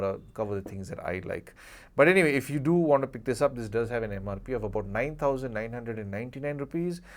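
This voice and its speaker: A man giving a casual, unscripted product review, hesitating and rephrasing as he goes and repeating points for emphasis. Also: lowish voice. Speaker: tech reviewer, channel host.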